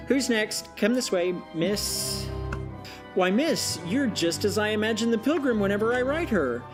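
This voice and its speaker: A pious, folksy novelist played by a woman in an audio drama, gently chiding and preachy. The delivery swings up and down with plenty of life, over clearly audible music. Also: mid-range voice, lively intonation, unhurried, lightly acted. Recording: music behind the voice.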